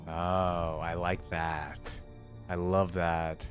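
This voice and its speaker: A woman's voice: extremely low pitch, flat delivery, very slow, music in the background. A woman giving casual, off-the-cuff reaction commentary, musing thoughtfully as she goes. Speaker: reaction video host.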